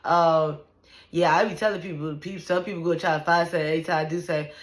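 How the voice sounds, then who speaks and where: A woman's voice: low voice, fairly even intonation, fast talker. A woman in her thirties venting casually to the camera, blunt and profane, with lots of "like" and "you know what I'm saying". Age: 30s. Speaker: vlogger.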